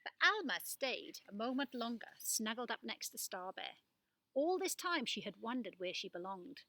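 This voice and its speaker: Bright-voiced woman reading a storybook. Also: a little high, moderate pace, no echo, rough recording. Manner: animated delivery with acted-out dialogue. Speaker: storybook reader. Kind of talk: expressive children's storytime reading.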